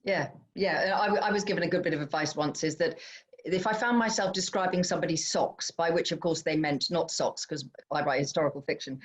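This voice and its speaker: Woman chairing, slightly low voice. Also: brisk. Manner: chatty, reading out audience questions. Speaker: host chairing an author question session. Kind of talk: relaxed literary panel moderating.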